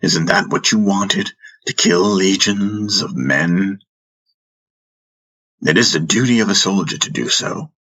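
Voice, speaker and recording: A man's voice: low voice, takes it slowly, pauses often, bright, forceful tone, exaggerated voice. A man voicing a wise, teasing and stern spiritual master in a fantasy audiobook, chiding in a theatrical way. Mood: stern, probing.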